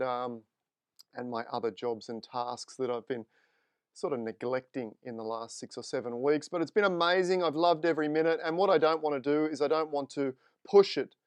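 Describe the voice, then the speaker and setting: A man's voice: a little high, darkish tone, smooth, no room sound. A man with an Australian accent hosting a live stream interview, chatting informally and upbeat. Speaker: host. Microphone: desk microphone.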